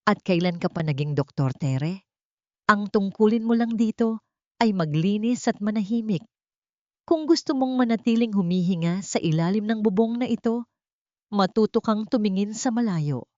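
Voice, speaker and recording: A woman's voice: mid-range voice, animated, normal speed, no room sound, highs cut off, voice pushed up, natural voice. Woman narrator as wealthy villainess, icy, scornful, threatening, audiobook drama, dry sound. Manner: cold, insistent, threatening. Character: cold, contemptuous, pushy rich woman villain.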